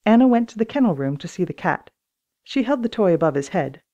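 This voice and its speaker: A woman's voice: mid-range voice, sing-song, a little fast, dark tone, highs cut off. A woman reading scripted children's story narration as an audiobook reader.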